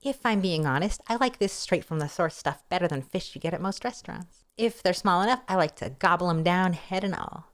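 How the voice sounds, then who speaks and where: A woman with a fairly low voice reads a story aloud as narrator. She is expressive and wry, slipping into playful, casual asides as she goes. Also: a little fast, few pauses. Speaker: streamer reading a novel aloud.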